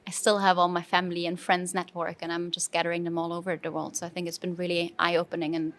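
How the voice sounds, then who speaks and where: German-accented woman, low flat voice. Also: fast. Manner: thoughtful, with frequent fillers and restarts. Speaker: brand manager, interview guest. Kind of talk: conversational interview. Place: conference venue.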